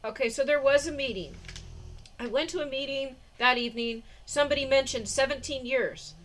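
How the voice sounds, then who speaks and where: Woman in her forties, high voice, rambling diary talk, lots of ums and laughs, faint hiss behind. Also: a little fast, fairly bright tone. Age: 40s. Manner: rambling, laughs often, many fillers. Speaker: vlogger. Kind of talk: casual diary vlog.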